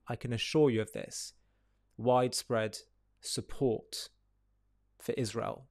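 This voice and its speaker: A man presenting reflective commentary in a measured, deliberate way, phrase by phrase. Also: mid-range voice, dry recording, studio quality.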